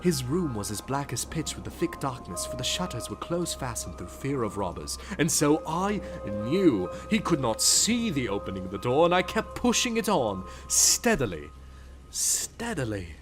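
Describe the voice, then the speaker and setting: A man giving a dramatic reading of a gothic horror story in an intense, feverish first-person delivery, swinging from loud declarations to hushed whispers. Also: mid-range voice, sing-song, normal speed. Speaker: reader. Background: music.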